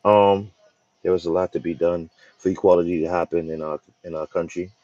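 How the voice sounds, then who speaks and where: A man from the Bahamas co-hosting a casual podcast chat, halting and repeating words with many fillers. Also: low-pitched, steady tone, mellow, lo-fi sound. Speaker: co-host.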